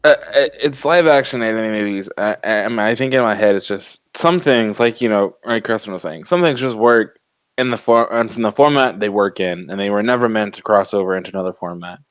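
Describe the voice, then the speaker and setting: A man's voice: fairly low pitch, a little fast, clean tone, dry recording. A man co-hosting a podcast in casual chat, opinionated and emphatic, with some loose swearing. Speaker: podcast co-host.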